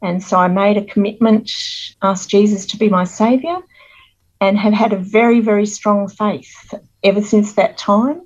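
A middle-aged Australian woman, a guest author telling her life story in an earnest, measured interview manner. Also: medium pitch, fairly even intonation, highs cut off.

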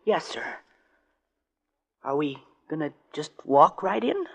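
A woman voicing an eager, excitable young boy sidekick in a 1940s radio drama. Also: low voice, slow pace, vocal fry, breathy edge, full character voice.

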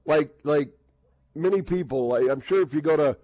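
A man's voice: on the high side, moderate pace, warm tone, low-quality recording. A man with an American accent hosting casual sports radio banter, rambling chattily and acting out little quoted exchanges.

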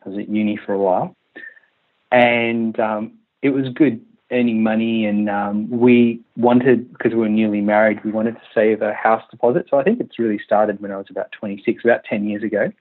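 A man in his thirties with an Australian accent, a country doctor answering interview questions in a hesitant, halting way with many fillers. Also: lowish voice, steady tone. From Malaysia, raised in Western Australia. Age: 30s. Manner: hesitant, many restarts and fillers, laughs easily. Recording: tinny phone line.

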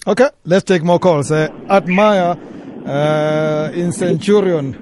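A man hosting a radio call-in show, brisk and directive with callers. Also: fairly high pitch, darkish tone, a little hiss or hum. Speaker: radio talk show host. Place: radio studio.

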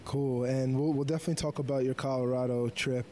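A man hosting a casual interview, friendly and joking with an old friend, rushing his words when excited. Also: medium pitch, a little hiss or hum. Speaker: podcast host.

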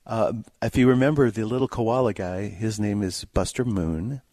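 A man co-hosting a casual radio film review, telling things in a folksy, halting way. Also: on the low side, dark tone, dry recording, studio quality. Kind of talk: casual radio movie chat. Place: dry radio studio.

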